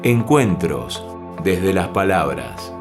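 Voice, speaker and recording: A man hosting an interview, speaking slowly and with measure. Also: low-pitched, big pitch swings, loud music behind the voice. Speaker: interviewer and host. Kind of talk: radio-style interview.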